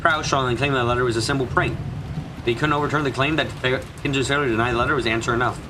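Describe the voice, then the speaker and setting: A man's voice: mid-range voice, steady tone, rapid-fire, background noise. A man narrating a mystery visual novel as a streamer, with slightly congested, mushy diction from a cold. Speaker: let's play streamer reading a visual novel. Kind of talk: dramatic narration of a story game.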